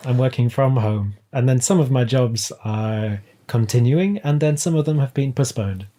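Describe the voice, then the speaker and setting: Mellow man chatting playfully. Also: mid-range voice, normal speed. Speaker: podcast guest. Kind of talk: casual chat.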